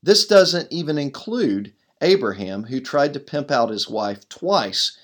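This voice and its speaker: A middle-aged man with a loud voice, a pastor reading his own devotional blog aloud in an earnest way, leaning hard on key words. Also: mid-range voice, expressive, unhurried.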